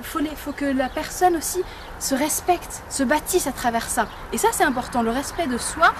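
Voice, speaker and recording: Woman from France, high voice, hesitant, rephrasing, casual interview, noisy traffic-like background. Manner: hesitant, halting, often rephrasing. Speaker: interviewee in a street survey. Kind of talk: casual spoken opinion.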